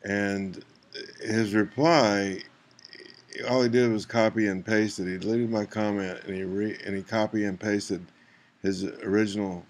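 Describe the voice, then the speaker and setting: A man giving unscripted screen-recording commentary, halting and rambling, with an aggrieved manner. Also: fairly low pitch. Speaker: video maker, commentator. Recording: clear background noise.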